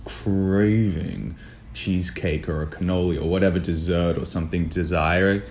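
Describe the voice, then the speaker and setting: A man speaking as an interview guest in casual chat, musing in halting phrases. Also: low voice, fairly even intonation. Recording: faint background noise.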